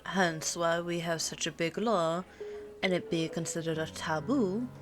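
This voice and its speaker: A woman playing an ageless, teasing vampire girlfriend in an intimate ASMR roleplay, musing and pausing with little hums. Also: on the low side, lightly acted. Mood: patient, explaining. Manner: unhurried, musing, pausing with hums before answering. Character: pure-blood vampire girlfriend, ageless, looks twenty-nine, teasing and worldly. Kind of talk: ASMR audio roleplay, intimate one-sided conversation. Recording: soft music and cafe ambience behind. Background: soft background music with cafe ambience.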